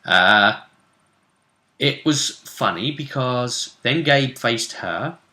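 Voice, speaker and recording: A man's voice: medium pitch, expressive, very slow, pushed and bright, roomy sound. A man chatting casually as he hosts a recap of a tabletop role-play game.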